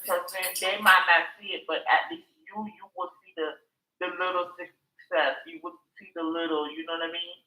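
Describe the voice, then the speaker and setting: Woman caller, bright and forceful, loose casual talk, echoey room. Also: fairly low pitch, fairly even intonation, moderate pace. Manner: casual, repeats words, says "mm-hmm". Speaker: participant. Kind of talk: casual chat.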